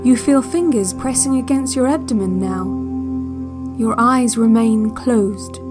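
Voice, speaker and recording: Woman acting eerie calm cassette guide, audio drama, measured hypnotic delivery, very slow pace, music underneath. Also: a little high, close to an everyday voice. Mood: quietly ominous. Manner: measured, deliberate, soothing instructions with long pauses. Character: calm, eerily watchful woman guiding a relaxation cassette. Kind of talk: slow hypnotic relaxation-tape narration in a fiction podcast.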